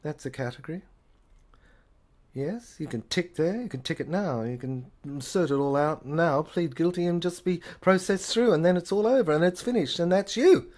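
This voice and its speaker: A man's voice: a little high, moderate pace, darkish tone, close to an everyday voice. A man playing a pompous, cynical lawyer in an audio play, haughty and rambling as he talks. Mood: brusque. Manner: haughty, rambling, bureaucratic, addresses others as old boy. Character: pompous, cynical state lawyer, jaded old hand. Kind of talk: theatrical audio play monologue.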